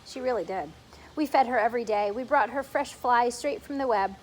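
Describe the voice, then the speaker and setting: A woman voicing a gentle, sorrowful female spider who murmurs softly. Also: fairly high pitch, fairly quick, slight background noise, close to an everyday voice, low-quality recording. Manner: soft, murmuring.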